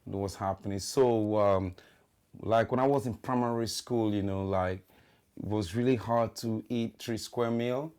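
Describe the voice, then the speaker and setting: A man's voice: on the low side, fairly even intonation, normal speed, a little mellow, clear, resonant tone. A young Nigerian man, a musician guest, talking loosely in a casual interview and often saying "you know what I mean".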